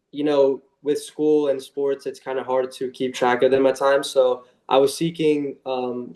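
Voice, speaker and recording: A man's voice: medium pitch, a little mellow. A young man in his late teens giving a semi-formal testimonial as a student guest, in a roomy, echoing recording. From United States.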